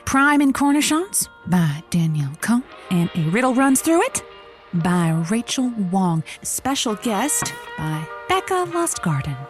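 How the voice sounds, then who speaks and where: A woman's voice: a little high, sing-song, a little slow, soft background music. A woman playing an anxious, sarcastic librarian who mutters to herself in a comic audio drama. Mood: absorbed, chatty. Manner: talks to herself.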